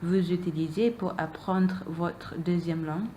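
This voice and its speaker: A woman's voice: on the low side, steady tone, measured pace, mellow, a little hiss or hum. A young woman, a non-native speaker whose first language is Malay, asking interview questions hesitantly, with many fillers and repeated answers. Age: young adult. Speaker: student interviewer.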